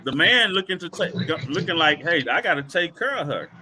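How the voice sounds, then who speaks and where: A man in his forties with speech and a very bright, slightly high voice. He hosts a loose group talk, restarting phrases and nodding along with short affirmations. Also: brisk, slight background noise. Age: 40s. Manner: repeats himself, steady affirming. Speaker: host. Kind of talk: casual panel chat.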